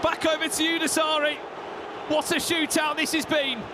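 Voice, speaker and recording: High-pitched shouting esports caster, a man. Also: moderate pace, noisy background. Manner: excitable, shouting at big moments. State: losing his voice. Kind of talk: play-by-play commentary.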